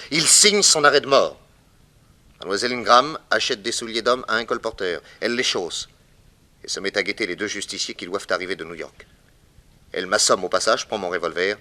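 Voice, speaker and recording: A man's voice: on the low side, sharp tone. A man acting a shrewd, confident private detective in an emphatic, declamatory 1960s French radio crime drama style. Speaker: detective hero and narrator.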